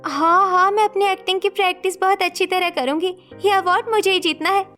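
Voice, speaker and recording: A woman's voice: extremely high pitch, steady tone, brisk, pushed and bright, faint music behind. A woman voicing a stubborn, emotional young schoolgirl in a cartoon story, earnest and pleading. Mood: eager, insistent. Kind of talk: Hindi cartoon story dialogue acting.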